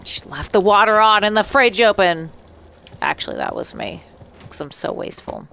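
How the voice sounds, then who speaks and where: A woman's voice: mid-range voice, big pitch swings, normal speed, creaky, pushed and bright, a little hiss or hum. A woman hosting a let's play with casual, playful commentary, chatting and reacting aloud.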